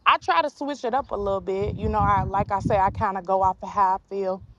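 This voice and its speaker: A raspy-voiced woman from Chicago, a rapper being interviewed, speaking softly and hesitantly with many fillers. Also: medium pitch, steady tone, fast talker, dull audio, crowd noise. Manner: soft-spoken, hesitant, trailing off with fillers. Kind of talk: casual interview chat.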